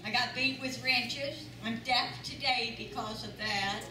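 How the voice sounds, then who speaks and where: Older woman, high-pitched, unhurried, emphatic church testimony, captured at a distance in a noisy sanctuary. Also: fairly even intonation. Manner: earnest, emphatic repetition. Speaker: church member giving testimony. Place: large church sanctuary with audience. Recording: echoey sanctuary, voice from far off, noisy.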